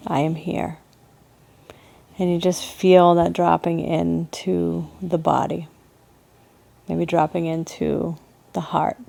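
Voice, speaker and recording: Slow, mellow woman guiding a meditation. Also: lowish voice, vocal fry. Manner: soothing, gentle, unhurried with pauses. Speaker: meditation and yoga teacher. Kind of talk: guided meditation.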